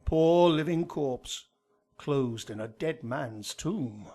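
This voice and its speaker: An actor playing a grave, counselling friar with measured declamation in a Shakespearean radio drama. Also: male voice, fairly high pitch, lively intonation, warm tone. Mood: troubled.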